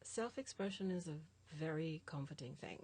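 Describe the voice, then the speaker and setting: A woman art teacher with a Jamaican American accent, chatting as a guest in an animated way with lots of filler ums. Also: low-pitched, moderate pace, husky. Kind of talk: casual conversation.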